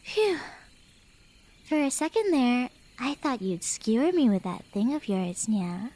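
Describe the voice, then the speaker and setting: A woman's voice: fairly high pitch, sing-song, warm tone, rough recording. A woman playing a playful, teasing, clingy cat beastkin in a flirty ASMR roleplay, with a coy manner. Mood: teasing relief. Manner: coy, teasing, with drawn-out breathy whispers.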